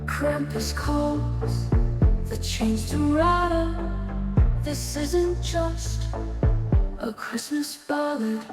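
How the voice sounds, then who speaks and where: A woman singing a spooky pop theme song in drawn-out phrases. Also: sits high. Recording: echoing vocal under loud music. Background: loud pop music.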